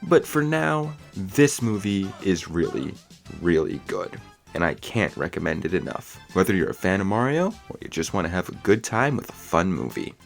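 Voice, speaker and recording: A man with a fairly low voice delivers an opinionated movie review over faint music. He hosts in an emphatic way, leaning on and stretching the words he wants to stress. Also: sing-song, normal speed, vocal fry. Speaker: video reviewer and host. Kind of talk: opinionated film review commentary. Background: music under the voice.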